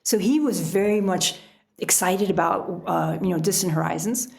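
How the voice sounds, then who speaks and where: Middle aged american lady chatting in an interview, creaky fry in her voice, lots of you knows. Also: lowish voice, moderate pace, darkish tone. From United States. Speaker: podcast guest, author and sailor. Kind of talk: conversational interview answers.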